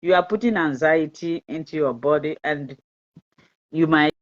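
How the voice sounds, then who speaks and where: A woman's voice: low voice, normal speed. Chatty Nigerian woman hosting a live stream. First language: Igbo. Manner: chatty, repeats herself, mixes in pidgin, laughs often. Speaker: fertility channel host. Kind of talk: live-stream advice talk, reading viewer comments. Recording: dull lo-fi live-stream audio.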